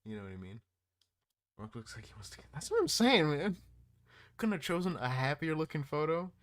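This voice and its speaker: A man's voice: a little high, big pitch swings, brisk. A man giving casual, slangy live reaction commentary as a streamer, with swearing and joking asides. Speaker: streamer reacting to horror videos.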